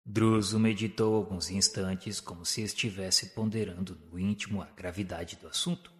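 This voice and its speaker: Male narrator, low voice, solemn and deliberate, long hall reverb. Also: fairly even intonation. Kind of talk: scripted narration of a spiritist radio drama.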